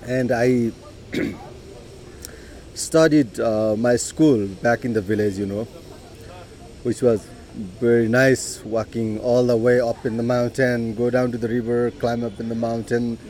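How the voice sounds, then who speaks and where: A middle-aged man with a Nepali accent, telling his life story in an unhurried way, with frequent "you know" and throat clearing. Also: medium pitch, steady tone, darkish tone, a little hiss or hum, low-quality recording. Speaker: interviewee. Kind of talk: personal life story told in conversation.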